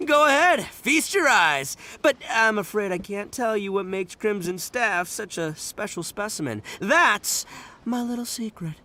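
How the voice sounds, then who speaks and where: A brash young gang boss from a video game, with a high-pitched, bright voice that swings widely. He is boastful, goofy and theatrical, exclaiming over light music. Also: animated, a little slow, full character voice. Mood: proud. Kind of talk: video game character acting.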